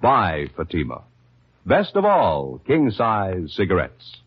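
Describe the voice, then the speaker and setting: A man announcing a scripted 1950s radio advertisement as an emphatic sales pitch. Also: huge pitch swings, slow pace, husky. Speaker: commercial announcer. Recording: dull old radio recording.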